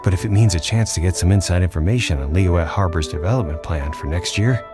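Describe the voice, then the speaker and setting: A man's voice: sits low, fast, over music, natural voice. A man voicing a shrewd, eager male merchant who talks in a keen, scheming way. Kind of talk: game voice acting.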